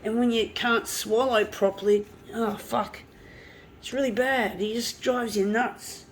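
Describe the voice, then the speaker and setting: A woman, hoarse from a flu with a blocked nose, chatting and swearing freely as she works. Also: on the high side, steady tone, unhurried, slight background noise. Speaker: artist. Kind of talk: casual talk while working.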